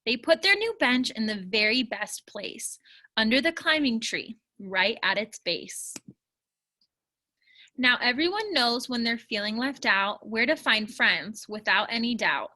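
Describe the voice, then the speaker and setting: A woman reading a rhyming children's storybook aloud in a measured, expressive way. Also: on the high side. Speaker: story reader.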